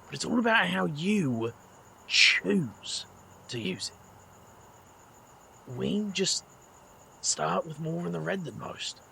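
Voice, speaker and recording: Man acting a very gravelly, earnest mentor. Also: fairly high pitch, lively intonation, slow pace, long pauses, bright, forceful tone, a little hiss or hum, heavily acted. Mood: gentle, earnest. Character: blunt, protective male outsider, awkward mentor. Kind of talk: audio drama acting.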